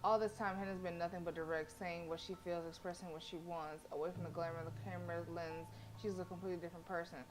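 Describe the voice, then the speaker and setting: Female streamer, lowish voice, expressive, reads visual novel narration and chats, music behind. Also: quick pace, hardly any pauses, poor audio. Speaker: game streamer. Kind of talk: livestream reading of narration with chatty reactions.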